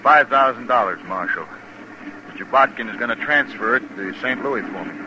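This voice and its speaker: An actor playing an evasive, smug outlaw suspect in a western radio drama, with a needling manner. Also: male voice, mid-range voice, animated, normal speed, natural voice. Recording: noisy old radio recording.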